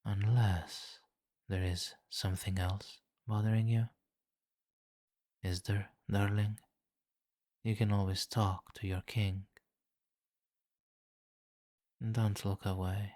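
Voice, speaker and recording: A deep-voiced vampire king played by a man speaks slowly and closely in a dry, intimate ASMR roleplay. He is imperious and seductive, teasing with soft menace and condescending amusement. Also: little pitch movement, dry recording. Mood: calm, reassuring authority. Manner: slow, commanding, teasing, softly menacing. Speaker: roleplay voice performer.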